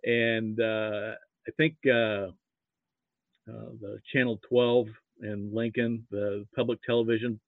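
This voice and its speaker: A man in his fifties with an American accent, a podcast guest talking casually and haltingly, with frequent pauses. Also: lowish voice, level tone, no room sound. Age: 50s. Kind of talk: casual recollection. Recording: narrow, phone-like line.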